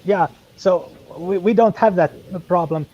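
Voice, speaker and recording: A man whose first language is Finnish chats as a guest on a stream. He speaks haltingly, filling the gaps as he hunts for words, in a slightly high voice. The sound comes through dull and lo-fi. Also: dark tone. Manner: halting, full of fillers and restarts while searching for words. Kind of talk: casual live-stream chat.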